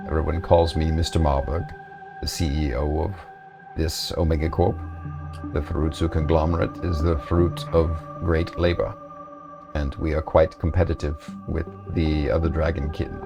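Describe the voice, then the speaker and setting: A man's voice: extremely low pitch, little pitch movement, normal speed, vocal fry, over music. A man playing a formal Eastern European corporate dragon chief. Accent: put-on Eastern European.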